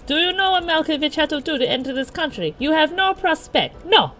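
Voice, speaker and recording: Woman putting on a blustering, gruff eccentric man. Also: fairly high pitch, big pitch swings, normal speed, fairly bright tone, voice pushed up. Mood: indignant bluster. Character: gruff blunt eccentric man with a peculiar put-on accent.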